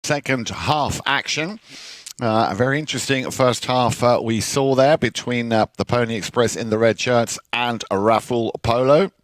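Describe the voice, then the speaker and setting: A man calls a polo match in a steady, measured way, pausing on fillers as the play unfolds. His voice sits at an ordinary pitch. The sound is close and dry. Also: no room sound. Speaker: polo commentator. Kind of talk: sports play-by-play commentary.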